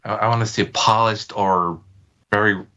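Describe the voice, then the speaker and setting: A man's voice: fairly low pitch, fairly even intonation, moderate pace, pushed and bright, lo-fi sound. A man speaking as an interview guest, informal and hesitant as he feels for his words. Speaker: podcast guest. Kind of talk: casual interview chat.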